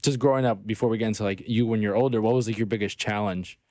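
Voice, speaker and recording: A man's voice: lowish voice, fairly even intonation, darkish tone, no echo. A man hosting a podcast, asking questions eagerly and filling his talk with "like" and "you know". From America. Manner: eager, rapid, filler-heavy questioning. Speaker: podcast host. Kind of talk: casual podcast interview.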